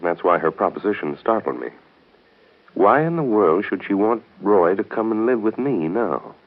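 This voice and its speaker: An actor in a 1930s radio soap opera playing a courteous, thoughtful gentleman who speaks in an earnest, measured way. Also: lowish voice, expressive, narrow, tinny audio. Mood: concerned. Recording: band-limited scratchy 1930s radio broadcast.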